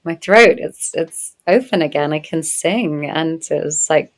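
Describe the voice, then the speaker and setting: A woman speaking as a guest in an interview, reflective and earnest, growing animated when she tells stories. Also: low voice, unhurried. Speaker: podcast guest, medicine woman. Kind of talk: conversational interview.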